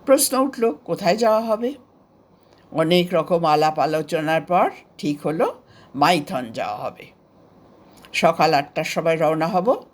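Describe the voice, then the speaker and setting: A woman with an Indian Bengali accent narrating a scripted story of memories in an expressive, unhurried storybook manner. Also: on the low side. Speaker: author narrating her own story.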